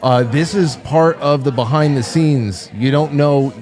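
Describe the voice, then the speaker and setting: Male stream host, slightly high voice, excitable, rambling studio chat. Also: a little slow, faint music behind. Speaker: show host. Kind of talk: casual live-stream chat. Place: busy studio with guests milling about.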